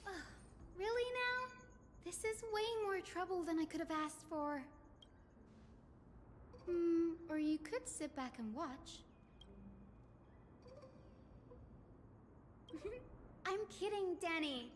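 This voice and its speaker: An actress playing an articulate, coy young heroine in scripted video game dialogue. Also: female voice, very high voice, animated, slow pace, pauses often, long reverb tail, slight background noise, heavily acted. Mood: playful, teasing.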